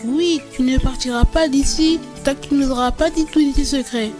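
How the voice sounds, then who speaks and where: A woman's voice: high-pitched, fairly even intonation, moderate pace, over music, close to an everyday voice. A woman voicing an earnest, insistent young grandchild in a children's cartoon.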